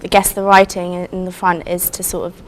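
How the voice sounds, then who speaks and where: A young woman in her twenties, a design student, giving soft, brief interview answers with frequent fillers. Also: fairly low pitch, completely flat delivery, fairly quick, slight background noise, poor audio. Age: 20s.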